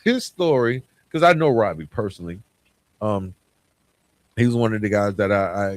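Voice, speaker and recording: A man speaking informally and earnestly as a podcast host, reflecting solo in a conversational way. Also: mid-range voice.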